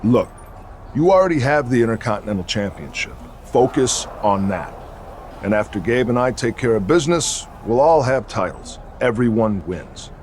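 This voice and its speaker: An actor playing a stern, commanding veteran wrestler who leads a faction in a video game, talking curtly and with authority. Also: male voice, mid-range voice, lively intonation, moderate pace, darkish tone, slight background noise, natural voice. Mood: insistent. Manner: curt and authoritative. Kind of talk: scripted video game cutscene acting.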